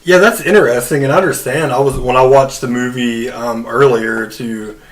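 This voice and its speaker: A man with a middle-pitched voice talks casually as a podcast host. He explains things in a measured way, feeling out his points with some hesitation. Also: rough recording. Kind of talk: casual movie discussion.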